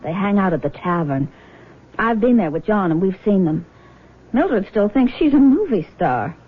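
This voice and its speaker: Earnest young daughter, old radio sound. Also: female voice, mid-range voice, big pitch swings, moderate pace, husky, narrow, tinny audio. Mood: indignant. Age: young adult. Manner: earnest, direct. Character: caring, firm, independent young nurse and devoted daughter. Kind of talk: 1970s radio drama acting. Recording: band-limited vintage radio broadcast.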